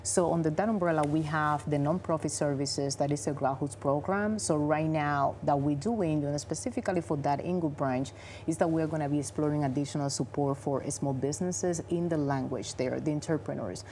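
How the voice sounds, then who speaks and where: Dominican-accented woman in her 40s, spanish first language, low warm voice, explains things earnestly. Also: a little fast, a little hiss or hum. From Dominican Republic. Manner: earnest and explanatory, non-native grammar. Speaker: bank vice president, guest. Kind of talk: interview answers.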